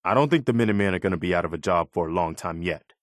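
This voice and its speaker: Earnest, low-voiced militia officer. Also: male voice, lively intonation, no room sound, clean studio sound. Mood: earnest, sober. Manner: earnest, measured, conscientious. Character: earnest, weary militia officer in post-apocalyptic wasteland, loyal and idealistic. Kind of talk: video game character dialogue.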